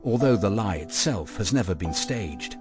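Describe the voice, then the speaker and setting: A man's voice: fairly low pitch, animated, normal speed. A man reading scripted narration and credits for a radio drama. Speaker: narrator of prologue and epilogue. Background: music.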